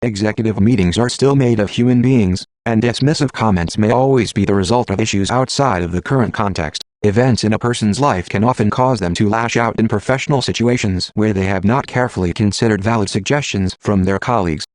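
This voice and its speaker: A man voices a calm machine assistant for an audio drama. The voice is on the low side and free of room sound, with formal, matter-of-fact, measured explanations. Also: dry recording, lightly acted. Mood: calm, informative.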